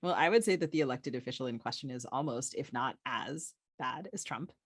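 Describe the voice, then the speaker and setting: A woman's voice: very deep voice, a little fast, slightly rough, dry recording, clean studio sound. An American woman co-hosting a podcast in casual, opinionated banter with a wry, informal manner. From United States. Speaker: podcast co-host.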